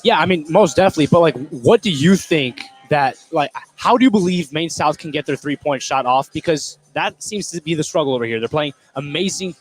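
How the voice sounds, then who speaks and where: Male colour commentator for live basketball radio, conversational analysis, moderately high-pitched voice. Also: fairly quick, hardly any pauses, faint music behind.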